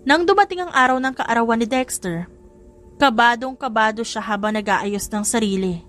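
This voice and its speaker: A woman's voice: a little high, moderate pace, fairly bright tone. A woman reading a scripted love story as a dramatic audiobook narrator. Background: music.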